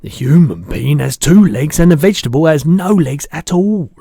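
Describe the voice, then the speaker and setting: A man's voice: a little high, expressive, moderate pace, creaky, dark tone, slightly rough, no room sound, clean studio sound, pitched above the performer's own voice, exaggerated voice. A man voicing a big friendly giant from a storybook, blustering, muddled and good-natured, speaking emphatically in garbled childlike grammar. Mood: booming, matter-of-fact.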